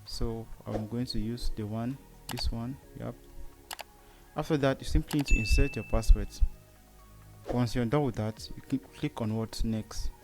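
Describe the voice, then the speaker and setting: A man's voice: mid-range voice, fairly even intonation, measured pace, music in the background. A man with a Cameroonian accent hosts a step-by-step tutorial, often asking a short question and answering it himself. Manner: asks rhetorical questions and answers them. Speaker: tutorial host. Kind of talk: step-by-step screen tutorial narration.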